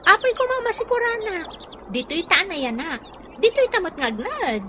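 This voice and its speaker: A woman's voice: very high voice, big pitch swings, moderate pace, background noise. An actress in a radio drama playing a cautious, reluctant young rural woman with a Filipino accent. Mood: puzzled.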